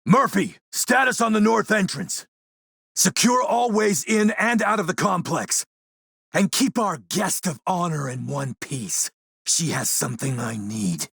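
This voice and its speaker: A man playing a smooth, menacing military warlord and arms dealer in a video game, suave, commanding and measured. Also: high-pitched, animated, a bit bright, dry recording, clean studio sound, full character voice. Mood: stern commanding. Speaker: villain character in an action role-playing game. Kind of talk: acted video game dialogue.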